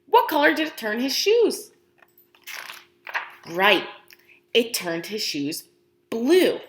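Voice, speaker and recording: A woman preschool teacher reading aloud to young children, encouraging them with questions and pauses, using exaggerated, expressive emphasis. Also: a little high, slight background noise. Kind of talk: animated children's read-aloud and lesson. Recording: roomy indoor echo.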